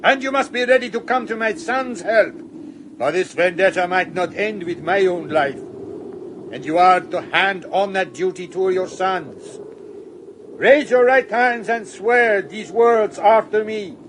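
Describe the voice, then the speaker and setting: A man's voice: high-pitched, measured pace, noisy background. An actor in a radio drama playing a proud older man who speaks solemnly and commandingly to his sworn friends.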